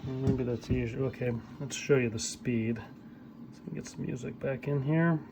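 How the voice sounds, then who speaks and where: Higher-voiced middle-aged man, casual hands-on demo. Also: steady tone, music in the background. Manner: hesitant, off-the-cuff, pausing as he works. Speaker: hobbyist audio equipment repairer demonstrating a tape deck. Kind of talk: casual hands-on demonstration commentary.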